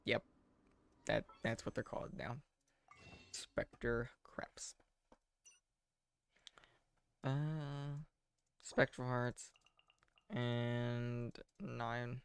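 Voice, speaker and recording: A man giving casual live game commentary in a deadpan, self-deprecating way, muttering asides to himself. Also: mid-range voice, very slow, gravelly, long pauses, a little hiss or hum. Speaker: game streamer.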